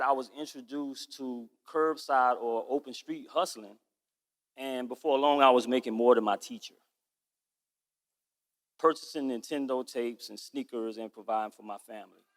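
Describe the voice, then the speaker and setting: A man in his forties delivers a rehearsed founder's pitch in an earnest, storytelling manner, stretching out key phrases for weight. His voice sits at an everyday middle pitch. The sound carries a long echo from a big hall. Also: fairly bright tone. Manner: earnest storytelling with drawn-out emphasis. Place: stage in a large event hall. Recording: echoey hall.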